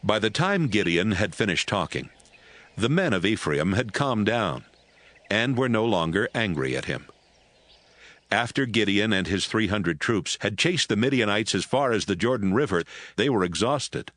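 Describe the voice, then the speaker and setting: A man's voice: lowish voice, big pitch swings, normal speed, fairly bright tone, no room sound. A man narrating a dramatized audio Bible in a scripted storytelling style. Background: music.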